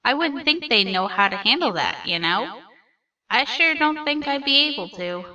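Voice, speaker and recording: A woman's voice: sits high, big pitch swings, moderate pace, pushed and bright, distant mic, heavily acted. A woman voicing a cheerful, naive skeleton brother in a comic dub, grandiose, earnest and theatrical. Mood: sympathetic. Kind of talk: comic dub character acting.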